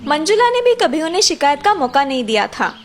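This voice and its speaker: A woman narrates a children's cartoon story. Her voice is high and very lively in its rise and fall. Music plays behind her. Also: fairly quick.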